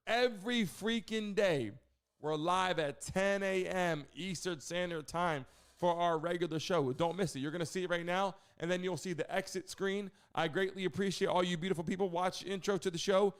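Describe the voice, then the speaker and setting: Male livestream host, casual and promotional, high clear voice, talks straight to the audience. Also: steady tone, normal speed. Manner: direct, promotional address to viewers.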